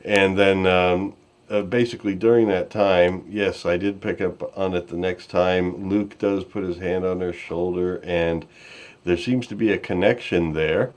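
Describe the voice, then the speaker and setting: A man hosting a podcast, giving casual commentary in a halting, rambling way. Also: low voice, steady tone. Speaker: podcast host.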